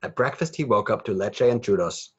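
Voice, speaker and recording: A man's voice: fairly low pitch, fairly quick, fairly bright tone, breathy edge. A man reading a children's picture book aloud with rhythmic, sing-song phrasing. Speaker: children's story reader.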